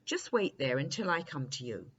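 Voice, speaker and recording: A knowing, chuckling daddy long legs from a storybook, acted out by a woman. The creature gives instructions briskly and matter-of-factly. The sound is slightly dull, with faint background noise. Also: sits low, big pitch swings, fairly bright tone. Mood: brisk, amused.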